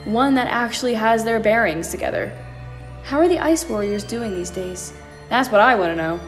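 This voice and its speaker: A woman's voice: a little high, normal speed, close to an everyday voice. A woman playing a cheeky, flippant alien mad scientist in a fan audio drama, with a sassy, sarcastic, casual delivery. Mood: breezy. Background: faint music.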